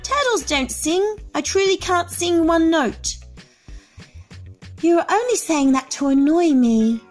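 Brief: small scared boy turtle voiced by a woman, high and pleading, bouncy pitch. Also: animated, slow, soft background music, full character voice. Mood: protesting. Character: tiny frightened baby boy turtle.

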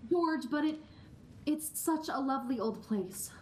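A woman's voice: high voice, lively intonation, unhurried, a little hiss or hum. A woman playing a dreamy eighteen-year-old small-town sweetheart in a live radio play. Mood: sweet, wistful. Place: large school auditorium stage.

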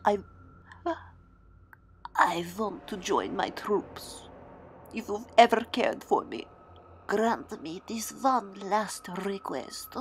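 A woman playing an anguished, defeated military scientist in an audio drama, pleading and halting with great intensity. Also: medium pitch, expressive, slight background noise. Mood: despairing, pleading.

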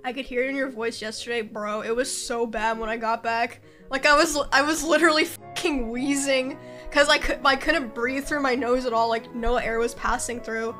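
A woman's voice: fairly high pitch, fairly even intonation, moderate pace, sharp tone, faint music behind. A young woman in her twenties streaming from her bedroom, nasal and congested, bantering playfully with chat. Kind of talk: casual livestream chat.

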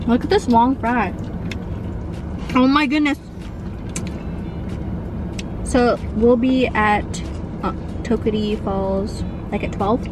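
A young woman in her twenties chatting casually for a travel vlog, excitable and rambling with fillers, recorded by a dashboard camera in a car. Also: a little high, takes it slowly, long pauses, far from the mic. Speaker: vlogger. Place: inside a parked car, later outdoors in forest. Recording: noisy car and outdoor ambience.

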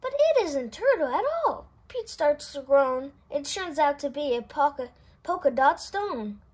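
A woman reading a children's picture book aloud in an expressive, sing-song way. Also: high voice, measured pace. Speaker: storybook reader. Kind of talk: children's read-aloud narration.

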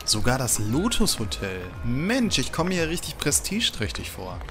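A man plays a hero's chummy sidekick in an audio drama. He sounds curious and casual, with lively swings in pitch over loud music. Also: normal speed. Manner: casual, expressive.

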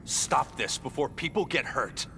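An actor playing a defiant costumed hero in a video game. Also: male voice, medium pitch, animated, measured pace, creaky. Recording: loud game effects and noise behind.

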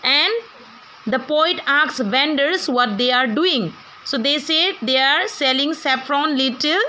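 A woman's voice: high voice, animated, slow pace, pushed and bright, slight background noise, poor audio. A woman teacher with an Indian English accent, giving an online class and explaining a poem to students, asking them questions as she goes.

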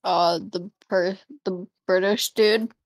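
A woman's voice: mid-range voice, fairly even intonation, slow pace, dry recording, low-quality recording. A woman playing a game with a friend, laid-back and giggly, chatting casually. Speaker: co-player. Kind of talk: casual gameplay chat.

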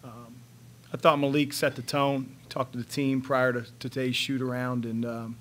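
Halting, flat-toned coach at a press table. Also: male voice, mid-range voice. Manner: measured, halting, many fillers and restarts. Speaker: head basketball coach. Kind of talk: post-game press conference answers. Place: press room in front of a sponsor backdrop. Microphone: table microphone on a stand.

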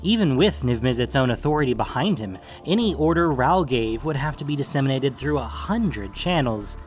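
A man's voice: mid-range voice, expressive, moderate pace, faint music behind. A man narrating a scripted fantasy story as its narrator.

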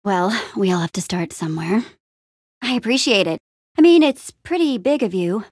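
A woman playing an upbeat young adventurer and town hero in a video game, friendly, casual, expressive and quippy. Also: medium pitch, normal speed, breathy edge, no room sound, clean studio sound. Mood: reassuring. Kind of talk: video game character acting.

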